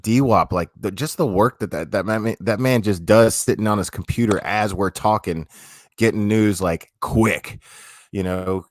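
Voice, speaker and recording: A man's voice: on the low side, a little slow, slight background noise. A young man hosting a livestream, talking casually and rambling, repeating himself and swearing now and then. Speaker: livestream host.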